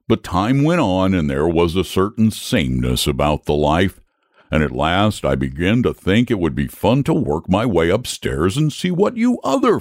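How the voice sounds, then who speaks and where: A man's voice: low-pitched, no room sound, clean studio sound. A man voicing an amiable, lazy, bashful dragon with poetic leanings, chatting in a genteel, rambling way as a storybook character.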